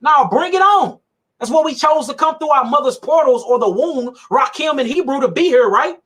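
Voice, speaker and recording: A man's voice: very high-pitched, expressive, moderate pace, fairly bright tone. A man giving an emphatic, preachy monologue about health, speaking colloquially, repeating his points and laughing often.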